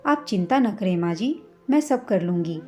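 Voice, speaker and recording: A woman voicing a meek, long-suffering wife and mother in a told story, speaking gently and dutifully. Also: mid-range voice, animated, moderate pace, faint music behind, close to an everyday voice. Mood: meek, resigned. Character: meek, long-suffering daughter-in-law, later a cautious widowed mother.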